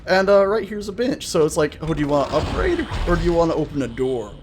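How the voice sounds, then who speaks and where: A man's voice: a little high, big pitch swings, fast talker, a little hiss or hum. A man hosting a gaming video with casual, chatty, playful and enthusiastic let's-play commentary. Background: game music.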